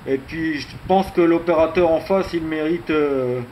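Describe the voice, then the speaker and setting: A man chats casually as an amateur radio operator, in a conversational, halting way with frequent little tag words. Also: a little high, a little mellow, rough recording. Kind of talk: casual radio contact chat. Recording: faint background hiss.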